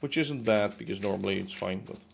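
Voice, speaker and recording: A man's voice: lowish voice, fairly quick, gravelly, slight background noise. A young man streaming from his apartment as he builds in a game, chatting casually and rambling with lots of fillers and restarts. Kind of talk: casual chat while building. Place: home room in a concrete apartment.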